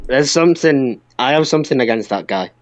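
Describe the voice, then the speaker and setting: A man's voice: mid-range voice, expressive, fairly quick, dry recording, highs cut off. A man co-hosting a wrestling podcast, talking in an emphatic, opinionated, ranting way. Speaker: podcast co-host.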